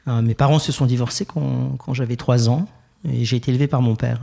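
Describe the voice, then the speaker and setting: A young Swiss French man from Geneva, telling his life story as a podcast guest, thoughtful and hesitant with many pauses and restarts. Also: medium pitch, steady tone, vocal fry, dark tone. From Geneva, Switzerland. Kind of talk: reflective personal interview.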